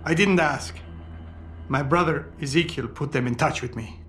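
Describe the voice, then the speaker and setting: A defeated, educated doctor in a game cutscene, played by a man. His fairly high male voice is brooding, deliberate and heavy with guilt, with faint music behind it. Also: fairly bright tone, natural voice. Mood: subdued, resigned. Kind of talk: dramatic video game cutscene acting.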